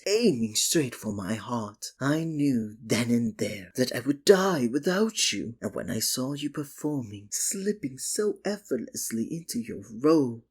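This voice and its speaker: Performer voicing a breathy, swooping sinister male magician. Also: mid-range voice, a little mellow, exaggerated voice. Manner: theatrical, coaxing, menacing, condescending endearments. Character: sinister obsessive male stage magician, sweet-talking, possessive captor. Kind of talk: ASMR roleplay, theatrical villain monologue.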